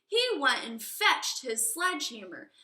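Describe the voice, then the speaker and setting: A woman reading a children's story aloud as an expressive narrator, deliberate and emphatic on key words. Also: sits high. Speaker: storybook reader. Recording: echoey room.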